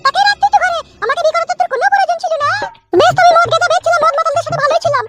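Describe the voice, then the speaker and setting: A performer voicing a combative young village wife in a cartoon comedy, sharp-tongued, scornful and quarrelsome. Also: very high voice, racing, soft background music. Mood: scornful, furious. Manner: scolding, quarrelsome. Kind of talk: Bengali cartoon comedy dialogue.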